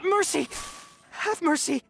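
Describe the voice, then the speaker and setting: A man's voice: very high-pitched, slow pace, gravelly, music in the background, exaggerated voice. An actor playing a cowardly male bandit who blusters, pleads and stammers in an English video game dub. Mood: panicked pleading. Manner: hesitant, stammering, wheedling.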